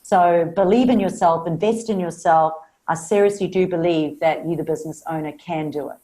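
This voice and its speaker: A woman's voice: lowish voice, steady tone, normal speed, mellow. A woman with a South African Australian accent, a marketing coach speaking as a guest in a podcast interview, earnest and upbeat.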